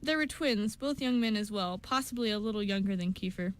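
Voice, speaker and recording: A woman's voice: mid-range voice, brisk. A woman reading scripted story narration for an amateur radio show, with an occasional stumble.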